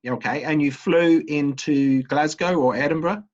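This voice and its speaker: A middle-aged man, an associate dean, interviewing a student in a relaxed way with many ums and uhs. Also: on the high side, normal speed, lo-fi sound.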